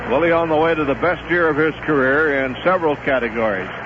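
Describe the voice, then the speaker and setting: A middle-aged man giving live play-by-play commentary on a baseball game for radio, steady and relaxed, rising in excitement on big plays. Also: on the high side, fast. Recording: muffled vintage 1970s radio broadcast with hiss.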